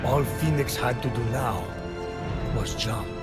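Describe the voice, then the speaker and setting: A man playing a wry, defiant Titan storyteller in a video game, narrating myth in a measured, eloquent, theatrical way. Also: mid-range voice, natural voice. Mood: dramatic storytelling. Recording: loud music under voice. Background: loud game score.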